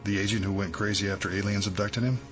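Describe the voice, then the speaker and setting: An actor playing a guarded, protective senior FBI man in an audio drama, speaking in a measured, questioning way. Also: on the low side, level tone, music in the background, lightly acted. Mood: probing.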